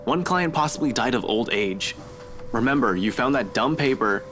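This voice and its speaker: A man's voice: mid-range voice, animated, moderate pace, a bit bright, faint music behind, voice pushed up, natural voice. A man voicing a frustrated, sceptical young man from a horror visual novel. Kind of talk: visual novel character reading.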